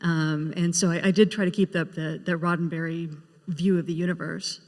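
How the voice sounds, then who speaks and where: A woman gives measured, conversational answers as a guest writer on a panel. Her voice rings with the long reverb of a big room. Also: fairly low pitch, little pitch movement, darkish tone. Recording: echoey hall with long reverb.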